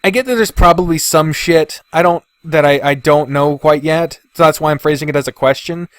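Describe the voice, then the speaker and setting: A man's voice: on the high side, fairly quick, a bit bright, dry recording. A man hosting a podcast, talking casually and bluntly about video games with lots of swearing and complaints. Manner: frequent swearing, blunt, griping. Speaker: podcast host. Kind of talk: casual opinionated video game commentary.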